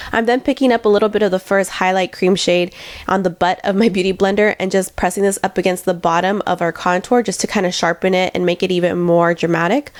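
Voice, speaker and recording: A woman's voice: lowish voice, darkish tone, no room sound. A young woman narrating a makeup tutorial in a chatty, step-by-step way, her voice nasal from congestion. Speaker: beauty vlogger.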